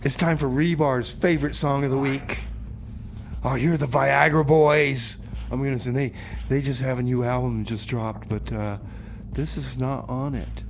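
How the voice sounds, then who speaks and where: A man hosting a community radio show, chatting casually and haltingly in a rambling, informal way with comedic banter. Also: mid-range voice, steady tone, creaky, soft background music. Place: radio studio.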